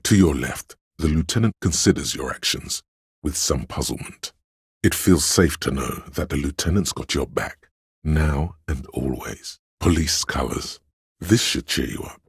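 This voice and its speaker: An actor voicing a grave, watchful, wry inner voice of police loyalty in a video game, with a gravelly, husky bass and measured, solemn storytelling. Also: male voice, no echo, clean studio sound, heavily acted. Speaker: game narrator. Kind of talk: scripted literary narration.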